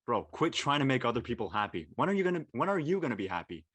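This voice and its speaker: A man acts out a nervous, sincere high school boy in a naturalistic radio play. He talks in casual slang with some hesitation, at a middling pitch. The sound is dry, with very little room. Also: big pitch swings, quick pace, fairly bright tone, breathy edge, no room sound. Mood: teasing, urging. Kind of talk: naturalistic dialogue in a live-streamed audio play.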